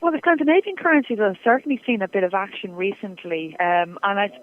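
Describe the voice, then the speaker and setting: A woman giving measured market analysis as an interview guest over a telephone. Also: mid-range voice. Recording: tinny, muffled telephone line.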